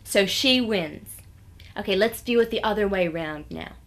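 A woman plays a condescending self-help seminar leader for radio satire. She has a medium-pitched voice and briskly coaxes and patronizes her listeners. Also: expressive, close to an everyday voice. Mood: smug instruction. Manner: patronizing, coaxing the audience.

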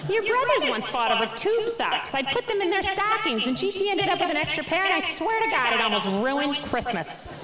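A woman's voice: very high voice, sing-song, brisk, pitched above the performer's own voice. A woman voicing a blunt, no-nonsense older grandmother in a live memoir reading. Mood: emphatic. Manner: brassy, no-nonsense. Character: blunt, emphatic older grandmother giving advice. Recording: echoey theatre sound with audience noise.

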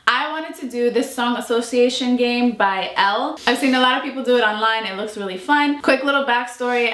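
High-voiced young woman hosting a game. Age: young adult. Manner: playful, competitive, bursts into song. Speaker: YouTube host. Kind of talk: casual game chat with singing. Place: small indoor room. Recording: echoey room, faint noise.

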